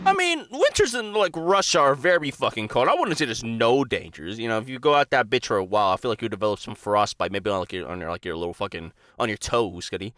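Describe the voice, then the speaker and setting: A man's voice: mid-range voice, expressive, fast, few pauses, a bit bright, dry recording, studio quality. A young American man giving casual, slangy, profane reaction commentary, swinging from mutters to exclamations. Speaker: reaction video host.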